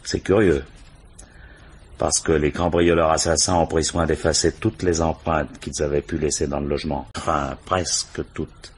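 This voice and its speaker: An actor playing a shrewd, authoritative police commissioner who questions insistently and methodically in a French radio crime drama. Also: male voice, extremely low pitch, steady tone, normal speed, a little hiss or hum. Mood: pointed, suspicious.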